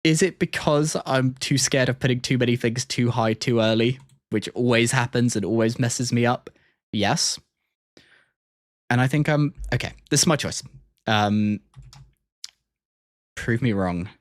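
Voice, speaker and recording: A man doing casual livestream commentary, reacting and ranking in a halting, think-aloud way. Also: medium pitch, no room sound. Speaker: streamer reacting to and ranking game trailers. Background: music.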